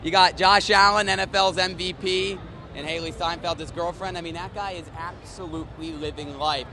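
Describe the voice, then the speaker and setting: A man's voice: high-pitched, steady tone, normal speed, sharp tone, a little hiss or hum. A man working as a sports anchor and reporter, with a playful, emphatic broadcast delivery. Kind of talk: sports broadcast narration and desk banter.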